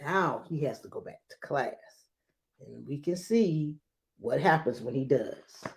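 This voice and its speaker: Female storybook reader, unhurried and highly varied in pitch, expressive emphasis for young listeners. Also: creaky, slight background noise. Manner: expressive, dramatic emphasis with deliberate pauses. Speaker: read-aloud host. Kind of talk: children's storybook read-aloud with brief asides.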